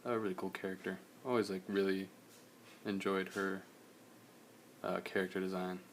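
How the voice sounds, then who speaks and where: A young man, slightly hoarse, chatting casually in a relaxed, rambling way while he streams a drawing session. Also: sits low, moderate pace, a little hiss or hum. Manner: relaxed, rambling, many fillers.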